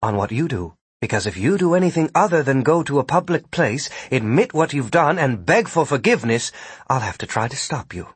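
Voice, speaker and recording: A man's voice: mid-range voice, big pitch swings, a little fast, breathy edge, dry recording. A man voicing a firm, plainspoken, challenging woman heroine in an audiobook. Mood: stern. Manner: direct, confrontational.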